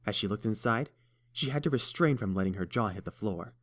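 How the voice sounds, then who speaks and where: A man reading a fan story aloud with a very low voice. His delivery is steady and even, paced like an audiobook. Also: fairly quick. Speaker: narrator.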